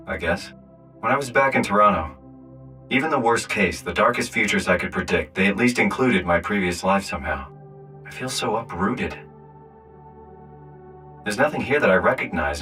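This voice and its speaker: Distressed uprooted man, North American accent, low pitch, brooding, naturalistic game acting, music behind. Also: lively intonation, moderate pace, bright, forceful tone, far from the mic. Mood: despondent. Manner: conversational, swearing, brooding. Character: weary, distressed man uprooted into a robot body.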